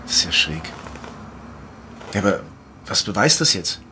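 A man's voice: sits low, very animated, a little slow, bright, forceful tone, slight background noise, full character voice. An actor playing a sceptical, cautious young man who questions things in colloquial speech, in an audio drama. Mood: sceptical, wary. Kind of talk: naturalistic audio drama acting.

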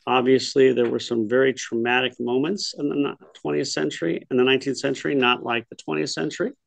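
A middle-aged man lectures an adult class from his home study over a webcam call. His voice is heavily gravelly, the sound close and dry with no room around it. He thinks aloud as he goes, corrects himself and throws questions to the class. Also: mid-range voice, steady tone, quick pace, no room sound. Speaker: teacher of an adult history class. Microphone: webcam on a video call.

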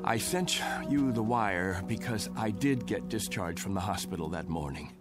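An actor playing a bewildered, rattled Air Force colonel in a radio drama. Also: male voice, lowish voice, animated, normal speed, over loud music, close to an everyday voice. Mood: urgent. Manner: bewildered, pleading, flares into anger.

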